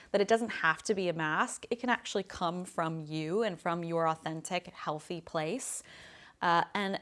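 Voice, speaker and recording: Woman voice coach chatting thru a question, kinda low voice, friendly and plain. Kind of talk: casual explanatory lesson to camera.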